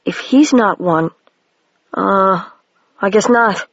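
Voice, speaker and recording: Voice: mid-range voice, takes it slowly, fairly bright tone, dry recording. A reader voicing a shy, earnest young boy who is a wizard, in a mildly raised version of their plain reading voice, on a dry, dull lo-fi home recording.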